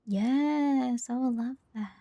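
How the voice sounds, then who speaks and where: A woman's voice: high-pitched, slow, mellow, dry recording. A woman doing casual livestream commentary as a game streamer, reacting in an informal, rambling way. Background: game music.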